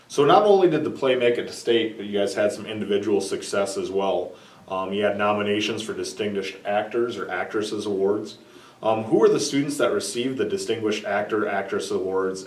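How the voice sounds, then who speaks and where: Male interviewer, slightly low voice, formal prepared questions, off-mic. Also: fairly quick. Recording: echoey room, distant mic.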